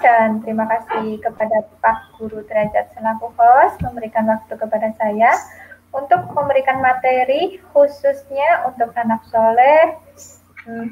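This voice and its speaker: Cheerful kindergarten teacher woman, slightly high. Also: dull audio, slight background noise. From Central Java, Indonesia. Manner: cheerful, addresses children directly. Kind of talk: online lesson for young children. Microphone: video call.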